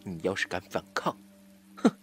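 An actor playing an obsessive, scheming jilted lover in a Chinese audio drama, swinging from soft pleading to taunting menace. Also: male voice, medium pitch, sing-song, moderate pace, husky. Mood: menacing, taunting.

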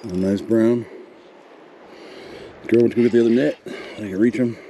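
A man's voice: fairly low pitch, normal speed, a little hiss or hum. A man hosting a casual fishing vlog outdoors by a river, calling out to his viewers. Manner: excited, addresses viewers as guys. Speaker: fishing channel host.

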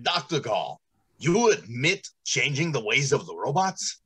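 An actor playing a stern, flustered factory director in old-time radio drama style, with halting, dramatic delivery. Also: male voice, medium pitch, big pitch swings, a bit bright, breathy edge, exaggerated voice. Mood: stern, bitter. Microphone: video call.

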